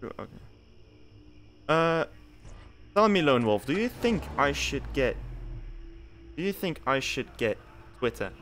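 A man's voice: on the high side, big pitch swings, slow pace, long pauses, a bit bright, a little hiss or hum. Chatty young man from Belgium streaming. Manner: chatty, repeats himself, reads game text aloud. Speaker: game streamer. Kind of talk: casual live-stream commentary.

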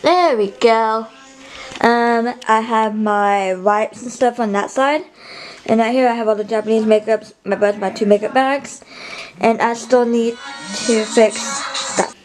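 A young woman chats to her camera from a small bedroom, rambling along with plenty of ums and restarts. Her voice sits a little high, and the handheld camera stays close to her face. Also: rough recording. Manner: rambling, chatty, many fillers and restarts. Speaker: vlogger. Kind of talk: casual vlog chat to camera.

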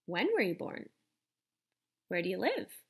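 A young adult woman, a teacher, reading a children's picture book aloud in a deliberate, expressive way, speaking directly to young listeners. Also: on the low side, creaky, breathy edge.

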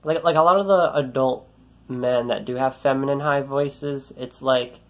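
A man's voice: mid-range voice, steady tone, moderate pace. A young man ranting frustrated into a phone held at arm's length in a small room, his voice light and youthful, rambling, swearing and restarting his sentences. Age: young adult. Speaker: vlogger. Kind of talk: ranting selfie vlog. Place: small room indoors, then outdoors on a road.

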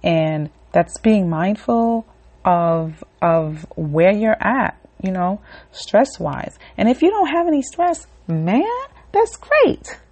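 A woman's voice: medium pitch, sing-song, slow, darkish tone. A woman hosting her own podcast, talking casually and ramblingly with many fillers, then leading a short relaxation and affirmation. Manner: rambling, conversational, filler-heavy; leads a relaxation and affirmation segment. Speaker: podcast host. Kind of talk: casual solo podcast talk.

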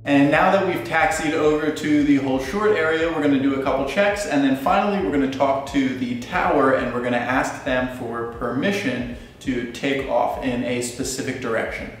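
A man's voice: mid-range voice, normal speed, few pauses. An American man in his twenties giving an instructional explanation to camera as a student pilot, recorded in a living room. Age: 20s. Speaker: student pilot presenting a tutorial. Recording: roomy, echoey, distant, faint noise.

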